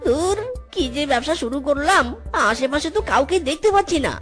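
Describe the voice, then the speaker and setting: A woman's voice: high voice, animated, brisk, faint music behind. A woman voicing a mischievous, lazy young male fox in a children's cartoon, exclamatory and wheedling. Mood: hawking, grumbling.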